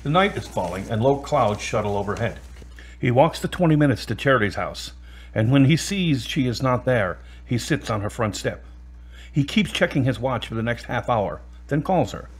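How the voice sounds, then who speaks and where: A man's voice: medium pitch, expressive, moderate pace, slightly rough. A man narrating a scripted audio drama as its storyteller.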